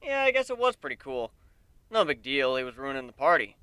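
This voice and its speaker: Man playing a brash, lively cocky griffin showman. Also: medium pitch, a little fast, heavy reverb, recorded from a distance. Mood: casual. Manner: cocky, teasing, showy.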